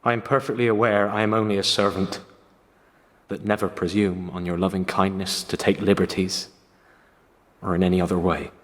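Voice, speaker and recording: A man's voice: low-pitched, a bit bright. Long reverb surrounds an earnest young male gamekeeper. He voices his letter with a servant's formality and longing, in radio drama style.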